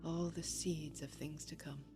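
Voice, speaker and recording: A woman playing a grieving, heartbroken lover in a poetic audio-drama monologue, measured and reflective, with breathy pauses. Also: low-pitched, steady tone, music in the background. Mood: wistful, grieving.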